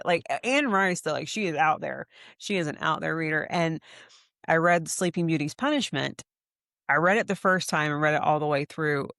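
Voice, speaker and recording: A woman's voice: sits low, a bit bright, no room sound, clean studio sound. A woman and podcast co-host chatting on a video call, rambling and often restarting her sentences. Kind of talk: casual podcast chat.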